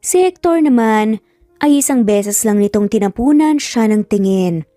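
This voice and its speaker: A woman's voice: a little high, animated, a little slow, dark tone, no room sound, clean studio sound, faint music behind. A woman with a Filipino accent telling a story in scripted, dramatic narration.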